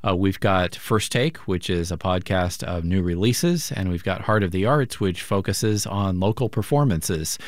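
Male co-host, pledge appeal, low voice, dry studio sound. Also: expressive, a little slow, few pauses, dry recording. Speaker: radio co-host.

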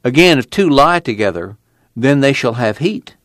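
A man voicing a weary young man in hiding, who speaks in a low, unhurried, folksy rural way. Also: animated, a bit bright, dry recording, studio quality, lightly acted. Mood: reflective. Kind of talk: audiobook character reading.

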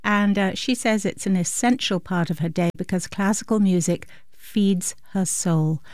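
Woman on classical radio, friendly pledge chat, mid pitch, dry studio mic. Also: normal speed, darkish tone, dry recording. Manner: friendly, conversational, speaks straight to listeners. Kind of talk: pledge drive appeal. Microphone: studio microphone.

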